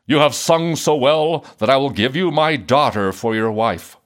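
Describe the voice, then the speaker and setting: Storybook old king, acted by the narrator: stern, unbending father, firm commands, animated delivery. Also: mid-range voice, normal speed, lightly acted. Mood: commanding.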